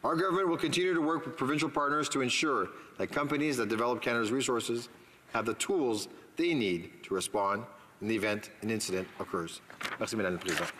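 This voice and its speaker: A man of middle pitch reads a prepared government statement in a formal, measured manner. His voice carries a long reverb from the legislative chamber around him. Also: fairly bright tone. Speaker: parliamentary secretary.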